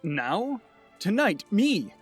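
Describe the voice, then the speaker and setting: A man playing a kindly, jolly old Santa Claus in a radio drama, gentle and avuncular. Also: sits high, big pitch swings, extremely slow, husky, faint music behind, heavily acted. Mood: delighted, excited. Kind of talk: radio play acting.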